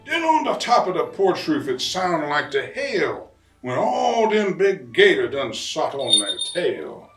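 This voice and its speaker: A man voicing an excitable bayou papa who tells a rhyming Christmas storybook tale in a folksy, animated way with drawn-out emphasis. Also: fairly high pitch, exaggerated voice. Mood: excited. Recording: roomy, distant mic, faint music.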